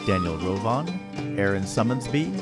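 A man's voice: lowish voice, animated, moderate pace, over loud music, lightly acted. A man playing a jovial storyteller, giving scripted promotional narration for fantasy adventure tales. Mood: announcing. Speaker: trailer narrator.

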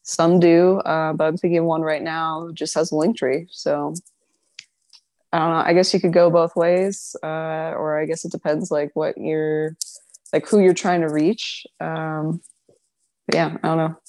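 Giggly woman musing in a low voice. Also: flat delivery, measured pace, dry recording. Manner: giggly, thinking out loud, hedging. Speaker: PR firm owner, guest. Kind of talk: casual interview chat.

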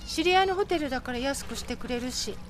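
Actress as tender, high-voiced young mother. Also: expressive, fast talker, a little hiss or hum. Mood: kind, wistful. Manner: motherly, soft feminine phrasing. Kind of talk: radio drama dialogue.

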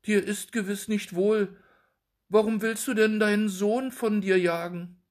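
A man's voice: sits high, fairly even intonation, a little slow, a little mellow, voice pushed up. A man voicing a frightened young man turned into a dwarf, pleading anxiously in a storybook reading. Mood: bewildered.

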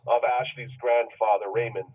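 Husky man joking down a phone line. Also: mid-range voice, little pitch movement, normal speed. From Jamaica. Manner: rambling, joking storyteller. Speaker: indie film director. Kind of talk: casual phone interview. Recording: tinny distant phone line.

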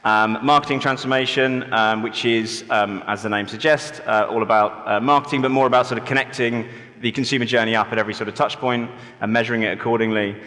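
A man of medium pitch speaks as a panelist, relaxed and meandering with many fillers. His voice hangs in the long reverb of a big hall. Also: fast talker. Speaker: panelist, agency managing director. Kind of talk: conference panel talk. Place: conference hall stage.

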